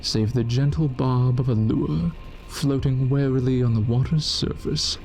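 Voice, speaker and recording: A man giving slow, hushed scripted narration for a radio drama. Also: medium pitch, fairly even intonation, slight background noise.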